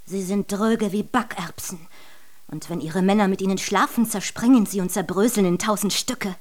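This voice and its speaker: An actress playing an odd, blunt country servant woman in a radio drama, speaking in a deliberate, repetitive way. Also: mid-range voice, a little mellow, natural voice. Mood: matter-of-fact. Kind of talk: German radio drama acting.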